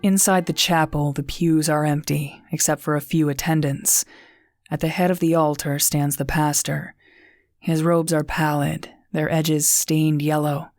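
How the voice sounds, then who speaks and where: A woman voicing a weary veteran warrior nun, narrating in first person with a calm, measured, commanding manner. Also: sits low, no room sound, clean studio sound. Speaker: narrator and squad leader. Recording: music bed under the voice.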